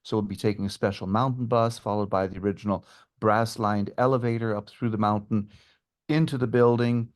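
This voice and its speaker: Low-voiced man explaining travel details. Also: fairly even intonation, brisk. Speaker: tour guide and historian guest. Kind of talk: informative interview answers about travel.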